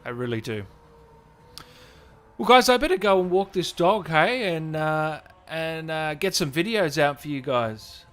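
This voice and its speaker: A man's voice: a little high, lively intonation, unhurried, a bit bright, soft background music. A man hosting a gaming livestream, chatting casually and informally to his viewers in a chummy way. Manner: chummy, informal, addressing viewers as guys and brother. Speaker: host of a gaming channel livestream.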